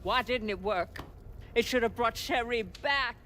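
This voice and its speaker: An actress playing a grieving, suspicious woman who dabbles in dark magic, in a video game cutscene. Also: a little high, unhurried. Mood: bitter, accusing. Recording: loud noisy ambience around voice.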